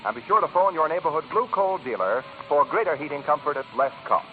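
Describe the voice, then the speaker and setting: A man's voice: fairly high pitch, animated, fast, a little hiss or hum. A man announcing on 1940s radio with an emphatic, polished promotional delivery. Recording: tinny 1940s radio recording.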